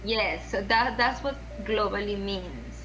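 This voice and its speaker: A woman's voice: mid-range voice, measured pace, a crowd in the background, poor audio. A woman from Venezuela with a Venezuelan Spanish accent, speaking as a podcast guest in an earnest, word-searching way. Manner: earnest, repeats and restarts phrases while searching for words. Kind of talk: conversational interview.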